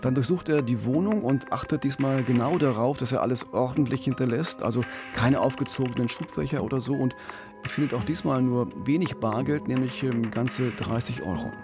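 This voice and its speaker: A man's voice: mid-range voice, a little fast. Guy in his 50s doing true crime podcast storytelling, studio mic, bit of music behind. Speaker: podcast co-host. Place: radio studio. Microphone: studio microphone on boom arm with pop filter. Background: background music.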